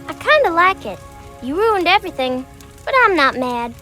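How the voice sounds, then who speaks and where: A woman playing an eerie, fervent young girl in a dark cult in a horror video game. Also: very high voice, big pitch swings, normal speed, full character voice. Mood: playful, calm. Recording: voice under music and crowd noise.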